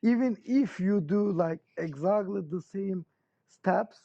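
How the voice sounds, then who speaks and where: A man with a Turkish accent chatting casually as a guest, blunt and informal in halting non-native English. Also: high-pitched, gravelly, warm tone, no echo, lo-fi sound. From Turkey. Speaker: podcast guest. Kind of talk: casual conversation.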